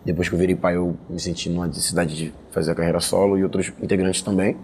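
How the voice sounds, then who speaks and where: A man's voice: deep voice, level tone, fast, darkish tone, slight background noise. A young man with a Carioca Brazilian accent, telling personal stories casually and colloquially in an interview. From Rio de Janeiro, of Angolan family. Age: young adult. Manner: colloquial, slangy. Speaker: hip hop musician interviewee.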